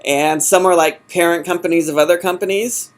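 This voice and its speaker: A man answering as a job candidate in an informal interview, halting and often correcting himself. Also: a little high, fairly even intonation. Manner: halting, hesitant, self-correcting. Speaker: job candidate in user experience design.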